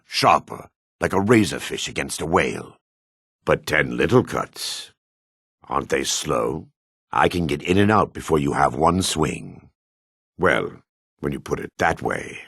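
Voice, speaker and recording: Haughty dark elf fighter, low-pitched, gruff rasp, sardonic, fantasy game dialogue. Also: male voice, dry recording, clean studio sound, full character voice. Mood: cocky, curt.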